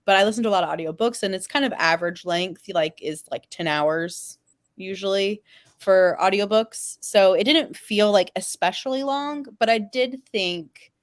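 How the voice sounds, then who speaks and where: A woman panelist chatting casually in a halting, informal way with many filler words. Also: mid-range voice, no room sound, studio quality.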